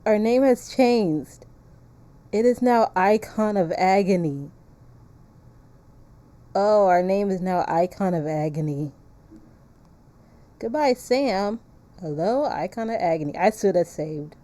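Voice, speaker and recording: A woman's voice: medium pitch, slow, pauses often, dark tone. A woman livestreaming a game, chatting casually in a playful, joking manner. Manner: playful, joking, crude humor. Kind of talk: casual game-stream chat and reading aloud.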